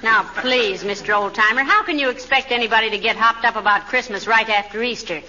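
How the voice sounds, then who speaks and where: An actress playing a sensible, teasing wife with dry wisecracks in a radio comedy. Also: female voice, fairly high pitch, brisk, bright, forceful tone. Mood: skeptical. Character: wry, sensible, teasing wife of a foolish husband. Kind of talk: 1940s radio comedy acting. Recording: muffled, noisy old radio broadcast.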